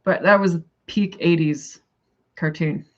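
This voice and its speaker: Low-voiced Canadian woman, rambling chat. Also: steady tone, measured pace, highs cut off. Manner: rambling, chatty, thinks aloud and answers chat. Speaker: livestreamer chatting with viewers. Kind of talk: casual live chat.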